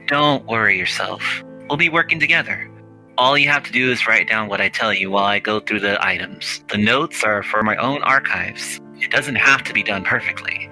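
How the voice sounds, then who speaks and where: A man voicing a calm, kind, probing male scholar from a visual novel, formal and deliberate. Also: fairly low pitch, faint music behind, poor audio. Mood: reassuring. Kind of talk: visual novel character reading.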